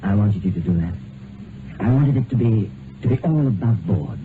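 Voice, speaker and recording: An actor playing a tender, eager gentleman lover in a period radio drama. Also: lowish voice, expressive, normal speed, close to an everyday voice. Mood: earnest, urgent. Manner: ardent, gentle. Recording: tinny, noisy old radio broadcast.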